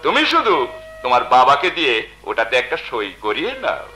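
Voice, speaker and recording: A man's voice: mid-range voice, huge pitch swings, pushed and bright, reverberant, soft background music. A man playing a confident, scheming villain in folk opera, theatrical and peppering his speech with English words. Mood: sly, assured. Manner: sprinkles English words, drawn-out theatrical delivery. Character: smooth-talking scheming villain, confident and menacing. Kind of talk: Bengali folk opera stage acting.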